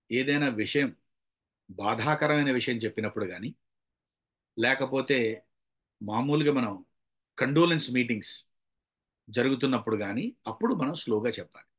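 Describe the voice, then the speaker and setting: A man's voice: mid-range voice, fairly bright tone. A man from India, giving an instructional talk on delivery as a trainer, explaining step by step in an emphatic, demonstrative way. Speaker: public speaking trainer. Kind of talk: instructional talk with acted-out examples.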